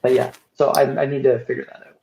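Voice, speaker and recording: A man speaking as an engineer in a casual technical work call, thinking aloud in halting phrases as he explains details. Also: medium pitch, completely flat delivery, gravelly, over a noisy crowd. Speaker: software engineer on a team call. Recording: roomy, dull lo-fi call audio.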